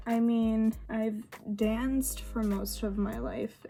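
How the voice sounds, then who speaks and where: A woman's voice: a little high, dark tone. A young woman from Sweden, a native Swedish speaker, chatting casually in English while getting ready, with lots of fillers and laughs. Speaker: vlogger. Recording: faint music behind.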